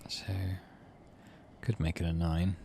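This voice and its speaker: A man's voice: low-pitched, flat delivery, slow, warm tone, faint music behind. A middle-aged man hosting a let's play, reading game text expressively and making casual muttered asides to himself. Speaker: gaming channel host.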